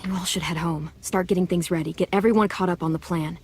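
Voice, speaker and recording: A woman playing a protective teenage girl who leads survivors in a game drama, with a husky voice and a calm, guiding, dry manner. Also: lowish voice, quick pace, a little hiss or hum, lightly acted. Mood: resolute. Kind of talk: video game dramatic acting.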